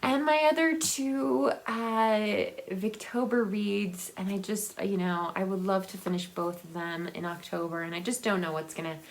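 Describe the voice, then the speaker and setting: A woman's voice: medium pitch, steady tone, unhurried, hardly any pauses, poor audio. A woman chatting casually and enthusiastically about books as a vlog host, in a rambling, giggly, unscripted way. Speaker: book vlogger and host. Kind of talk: casual unscripted book chat.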